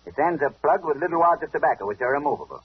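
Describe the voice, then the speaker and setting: An actor playing a tough, sly older police inspector in a 1940s radio detective drama, taunting and commanding in clipped speech. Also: male voice, a little high, animated, very fast, a little hiss or hum, close to an everyday voice. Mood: sly, deliberate. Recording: dull old radio broadcast recording.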